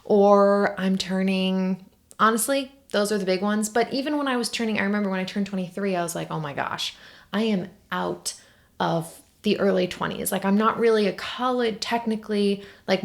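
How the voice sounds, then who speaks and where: A woman's voice: medium pitch, creaky. A woman in her twenties hosting a podcast, talking casually and candidly at home, full of "like" and "oh my gosh" asides. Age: 20s. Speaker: podcast host. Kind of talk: casual solo podcast monologue. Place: at home by a window.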